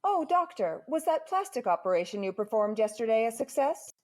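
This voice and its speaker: A woman playing a brisk, unimpressed hospital nurse in a 1940s radio comedy. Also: on the high side, very animated, darkish tone. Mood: puzzled.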